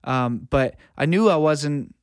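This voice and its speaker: Man, smooth clear voice, dry close sound, informal and earnest, casual interview guest. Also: on the high side, slow pace, no echo. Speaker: guest, music producer and audio engineer. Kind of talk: casual interview, giving career advice.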